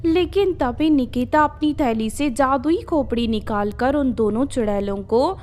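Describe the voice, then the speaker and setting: A woman's voice: high-pitched, measured pace, a little mellow, slight background noise. A woman narrating a scripted children's horror story as a storyteller.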